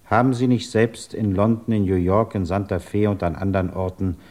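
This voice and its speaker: A man playing a measured, courteous British government investigator in a 1950s German radio drama, formal, deliberate and patiently probing. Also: lowish voice, steady tone, a little mellow, natural voice. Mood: insistent, probing.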